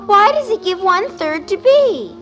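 A woman's voice: extremely high pitch, lively intonation, moderate pace, over music. An actress playing a literal-minded, earnestly questioning little schoolgirl in a vintage 1950s radio comedy. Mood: puzzled. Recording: vintage radio broadcast recording.

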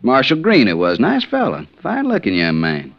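A man's voice: medium pitch, wildly swooping pitch, moderate pace, creaky. An actor playing a good-natured, folksy frontier lawman with drawling phrasing in a 1950s radio western. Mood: soothing, admiring. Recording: dull vintage 1950s radio recording.

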